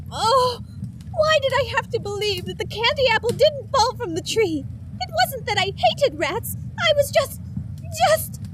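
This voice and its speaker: An earnest little guardian fairy in an audio drama. The voice is extremely high, sweet and emotional. Ambient noise sits clearly behind it. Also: female voice. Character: tiny earnest, anxious sugar plum guardian fairy.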